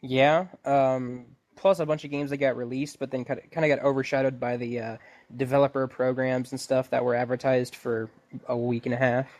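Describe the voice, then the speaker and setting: A man with a medium-pitched voice talks as a podcast co-host. His delivery is conversational and informal, like someone chatting about games with the other hosts. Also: little pitch movement, brisk, darkish tone. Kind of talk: casual gaming podcast chat.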